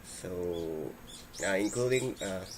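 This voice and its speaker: A man's voice: deep voice, slow, slight background noise. A Japanese man in his thirties speaking English with a Japanese accent, talking hesitantly to the camera in short phrases. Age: 30s. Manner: hesitant, few words. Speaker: teacher, vlogger's husband.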